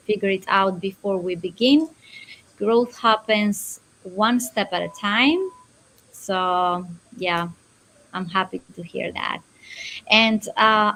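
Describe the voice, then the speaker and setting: A woman with a mid-pitched voice hosts an informal livestream. She talks at a very unhurried, slow pace as she moves between speakers. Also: fairly bright tone, low-quality recording. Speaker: host.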